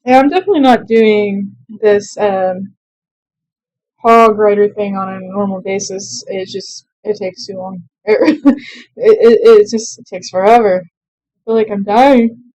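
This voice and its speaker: A young woman casually commenting on her own strategy game play, chatty and quick to laugh at herself. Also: medium pitch, darkish tone, no echo, low-quality recording. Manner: chatty, self-deprecating, laughs often. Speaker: let's play gamer.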